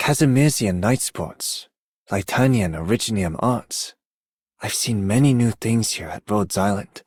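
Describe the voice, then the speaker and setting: Low, husky, earnest knight. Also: male voice, lively intonation, unhurried, darkish tone, dry recording, clean studio sound. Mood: polite, curious. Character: polite, earnest male knight newcomer, curious. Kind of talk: video game character voice acting.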